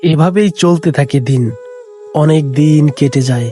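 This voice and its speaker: A man's voice: fairly high pitch, normal speed, mellow, soft background music. Man narrating a village cartoon tale. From Bangladesh.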